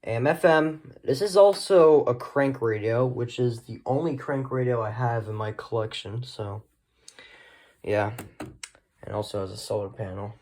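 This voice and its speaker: A man hosts a casual hobbyist video in an unscripted way. His talk rambles along at a middling pitch, often trailing off into short asides. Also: normal speed, darkish tone. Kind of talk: casual unscripted show-and-tell.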